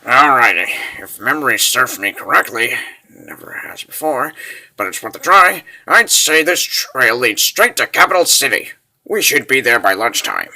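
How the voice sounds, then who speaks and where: A man playing a wry, grumbling wizard in a fantasy comic dub, folksy, animated and full of wisecracks. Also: mid-range voice, normal speed, heavily acted, rough recording. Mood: jaunty, joking.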